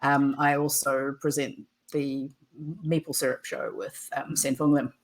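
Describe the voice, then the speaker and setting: A woman's voice: extremely low pitch, level tone, a little slow, poor audio. A woman, a game designer chatting casually in an interview, with lots of "um" fillers and easy laughter. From New Zealand.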